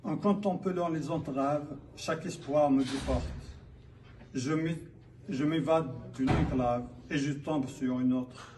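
A man from Kabylia in Algeria declaiming his own poetry in a solemn, measured manner. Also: a little high. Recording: distant mic, faint background noise.

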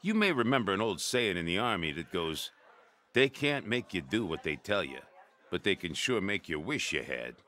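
An American mob boss for a radio play. His voice is low and forceful, swinging animatedly as he leans on people with smooth, pushy menace. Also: big pitch swings, normal speed, heavily acted. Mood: quiet menace. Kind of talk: radio drama acting.

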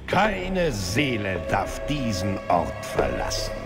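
An actor playing a towering vengeful devil lord in a dramatic video game dub, grandiose, menacing and theatrical. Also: male voice, fairly low pitch, sing-song, takes it slowly, vocal fry, over loud music, exaggerated voice. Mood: cold, menacing.

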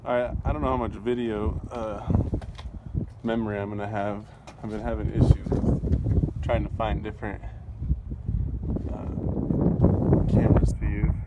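A man with a fairly low voice narrates a casual travel vlog. He talks in an offhand, rambling way. Clear outdoor noise of wind and water runs behind him. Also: level tone, a little slow, pauses often, narrow, tinny audio. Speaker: vlogger. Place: outdoors on and around a lake.

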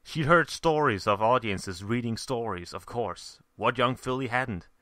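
A man narrating a story from a script in a measured, theatrical way. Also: medium pitch, animated, fairly bright tone, no room sound, clean studio sound. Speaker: fan fiction reader, narrator.

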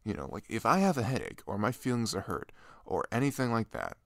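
Man acting a low, creaky, shy best friend. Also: sing-song, a little fast, no echo, studio quality. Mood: gentle, shy. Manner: hesitant, stammering, gentle. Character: shy, sweet young male best friend, smitten and flustered. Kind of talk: ASMR roleplay, soft intimate comforting talk. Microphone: close microphone.

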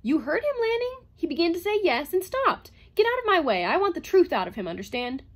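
A bullying male mathematician from an audiobook tale, acted out by a woman narrator. He blusters and demands answers with suspicion, pitched up in agitation. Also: very animated, a little slow, husky, heavily acted. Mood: angry, demanding.

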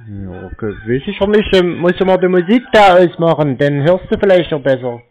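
Casting: man acting as clueless, colloquial male prank-call customer, fairly high voice, rambling, thin phone sound. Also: sing-song, normal speed, darkish tone, soft background music. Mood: naive, chatty. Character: naive, rambling, slow-witted male scam-call victim. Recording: tinny, muffled phone line.

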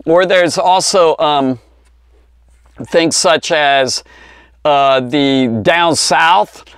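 An American man in his fifties teaches in a conversational, rambling way, circling back to correct himself. His voice sits a little high for a man. The sound is dry and close, like a lapel mic in a small study. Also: no echo. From Cincinnati, United States. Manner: rambling, conversational, with false starts and self-corrections. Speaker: Bible teacher. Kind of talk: informal Bible lesson for kids. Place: small indoor study lined with bookshelves. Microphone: lapel mic clipped at the collar.

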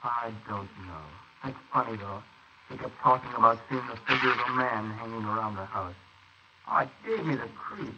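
A man's voice: medium pitch, normal speed, natural voice. Guy acting as a nervy young accomplice in a 40s radio play, muffled n really noisy old recording. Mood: uneasy. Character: nervous, guilty young man, accomplice in a murder. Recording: noisy muffled 1948 wire recording of transcription discs.

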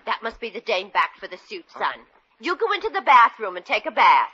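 A woman's voice: fairly high pitch, sing-song, fairly quick, pushed and bright, slightly rough, no echo, dull audio, full character voice. An actress playing a crooked, bossy, doting elderly mother in 1940s radio comedy, with colloquial, comically exaggerated delivery on an old recording. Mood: scheming.

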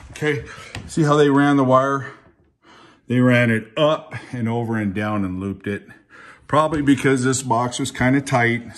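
An older man doing a casual home-repair demonstration, chatty and folksy, often checking in with viewers. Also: mid-range voice. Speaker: DIY home repair presenter. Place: small kitchen indoors. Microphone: camera mic on a tripod, some distance off. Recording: echoey room with clear work noise.

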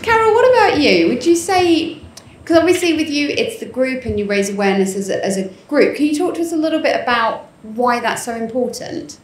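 A woman's voice: high voice, sing-song, a little fast. A woman hosting a panel, asking chatty questions into a handheld mic in a conference room. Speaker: panel host. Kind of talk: moderating a panel. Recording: roomy echo.

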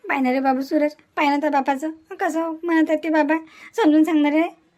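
Woman playing a high-voiced, pleading, worried mother, Vidarbha accent. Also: little pitch movement, fairly quick, darkish tone, lightly acted. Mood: coaxing. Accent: Vidarbha Marathi. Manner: coaxing, plaintive. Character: worried, pleading, tender middle-aged mother. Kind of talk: cartoon family melodrama.

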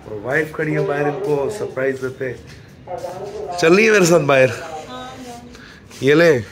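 A man in his thirties with a hoarse throat, chatting casually to viewers as a family vlog host. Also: a little high, unhurried, a crowd in the background. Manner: chatty, teasing, addresses viewers directly. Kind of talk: casual vlog talk to camera. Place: at home, indoors. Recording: noisy home recording.

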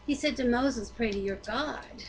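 A woman's voice: on the high side, normal speed, a loud crowd. A woman co-hosting a Bible reading, reading aloud and chatting casually about it. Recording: noisy amateur home recording.

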